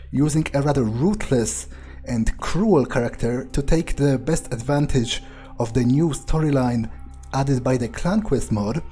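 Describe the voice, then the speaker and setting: A man giving a casual, explanatory introduction as the host of a gameplay series, with hesitant pauses and repeated words. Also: mid-range voice, mellow. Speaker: let's play host.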